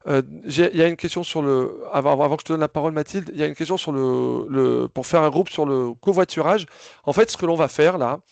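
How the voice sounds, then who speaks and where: A man with a slightly higher voice and a gravelly, creaky edge, speaking over a video call. He hosts a casual briefing, circling back with fillers and restarted phrases. The sound is dry and close. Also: brisk, darkish tone, no room sound. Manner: hesitant, many fillers and restarts. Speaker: association host of a video call. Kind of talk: casual spoken briefing, moderating questions.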